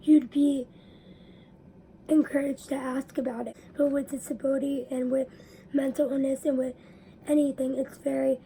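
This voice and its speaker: A young woman vlogging to her viewers, her voice raspy from allergies, explaining earnestly and sometimes dropping to a whisper. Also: high voice, level tone, normal speed, pauses often, warm tone, a little hiss or hum, rough recording. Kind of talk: personal talk to camera.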